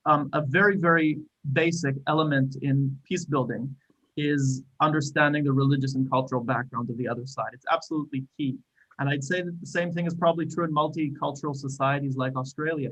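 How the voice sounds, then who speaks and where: A man's voice: mid-range voice, fairly bright tone, dull audio. A man with an Israeli accent speaking thoughtfully on a discussion panel, with frequent small restarts. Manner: thoughtful, measured with hesitant restarts.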